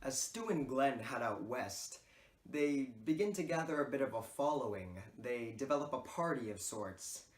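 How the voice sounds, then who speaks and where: A man with a medium-pitched voice gives explanatory narration as a presenter. His phrasing is measured, and the room around him is noticeably echoey. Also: expressive. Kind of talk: explanatory narration for a school project.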